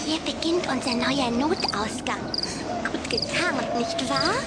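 A woman's voice: sits high, takes it slowly, heavy background noise. A woman playing a confident, persuasive young vampire girl with a chirpy, insistent manner in a German children's radio drama. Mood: hushed, matter-of-fact. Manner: chirpy, insistent, self-assured.

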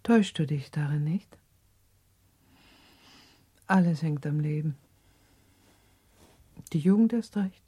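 Soft, mellow, anxious mother. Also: low-pitched, slow pace, long pauses, breathy edge, no room sound, exaggerated voice. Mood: gentle concern. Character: grieving, anxious mother, middle-aged, gentle and searching. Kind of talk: German radio drama acting.

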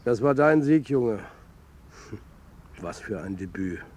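An actor playing a blunt, bantering football coach in naturalistic radio drama dialogue, talking in a dry, colloquial way. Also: male voice, mid-range voice, animated, slow, darkish tone. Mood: subdued. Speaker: character in dialogue.

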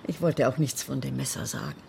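An actress playing a lonely, anxious, defensive woman in a radio crime drama, speaking haltingly yet insistently. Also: a bass voice, sing-song, vocal fry, warm tone, slight background noise, heavily acted. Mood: agitated, defensive.

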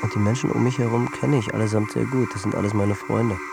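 A low male voice plays a jet-set manager losing his grip. He delivers a tense, circling radio-play monologue with music underneath. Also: fairly even intonation, fast, vocal fry. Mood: tired, absorbed. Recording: music behind the voice.

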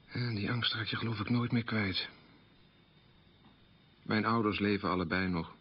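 A troubled middle-aged man in a confessional radio monologue. His voice is deep and a little husky, and he speaks soberly in measured, articulate phrases. The sound is slightly dull and lo-fi. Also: fairly even intonation. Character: troubled man burdened by his family's collaborationist past.

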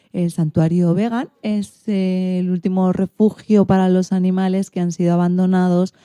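A woman's voice: fairly low pitch, mellow, dry recording, clean studio sound. A woman presenting a radio magazine programme from a script.

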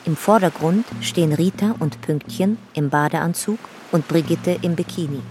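Radio drama narrator: actress as matter-of-fact woman, low pitch, measured reading, music underneath. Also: a little mellow, close to an everyday voice. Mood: factual. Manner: factual, measured. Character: matter-of-fact female documentary narrator reading press and archive.

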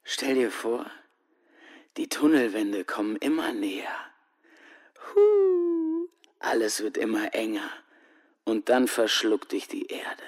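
An actor playing a teasing, dark-humoured American GI in Vietnam, theatrical, in a German radio play. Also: male voice, medium pitch, huge pitch swings, slow pace, long pauses. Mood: eerie, taunting.

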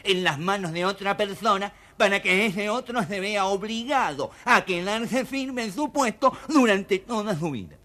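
A man's voice: high-pitched, measured pace, hardly any pauses, pushed and bright. An actor voicing a grumpy young male ogre in an audio storybook, grumbling and exclaiming. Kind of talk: audio storybook character acting.

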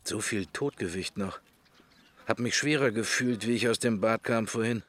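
A man's voice: lowish voice, moderate pace, a bit bright. An actor playing a pensive middle-aged man who thinks to himself in clipped, wry fragments, in a radio drama interior monologue. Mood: detached musing.

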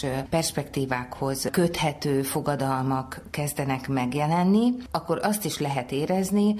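A woman's voice: low voice, darkish tone. A woman giving explanatory commentary in an analytical, wordy and wryly illustrative manner.

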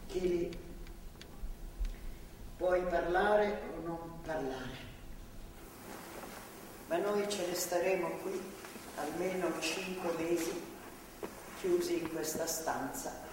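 A woman's voice: fairly low pitch, slow, long pauses. An actress playing an older, motherly woman guarding a captive in a stage drama, folksy, stubborn and rambling. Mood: wry, rambling. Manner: folksy, rambling, matter-of-fact. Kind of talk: theatrical stage drama acting. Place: theatre stage, picked up from afar. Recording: echoey hall, distant mic, noisy ambience.

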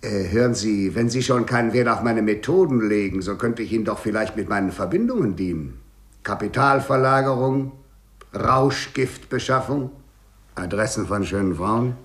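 Actor as sly, sardonic gentleman crook. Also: fairly low pitch, moderate pace, close to an everyday voice. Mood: wheedling, sly. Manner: wheedling, sardonic, chuckling asides. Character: sly worldly male crook in prison, mocking and haggling. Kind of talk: 1950s German radio play acting. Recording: 1950s radio drama recording.

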